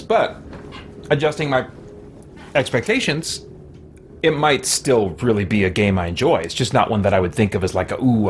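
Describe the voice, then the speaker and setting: A man with a middling pitch chats casually through a game he is playing. He hedges and reasons things out as he goes, in a thoughtful, rambling way. Clear game ambience sits behind his voice. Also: slight background noise. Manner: thoughtful, hedging, thinking aloud. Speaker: let's-play host. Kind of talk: casual rambling gameplay commentary.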